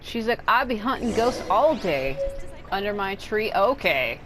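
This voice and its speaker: A woman's voice: medium pitch, very animated, measured pace, low-quality recording. A woman giving casual, rambling let's play commentary, with some swearing. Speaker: gaming streamer. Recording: game music behind the voice.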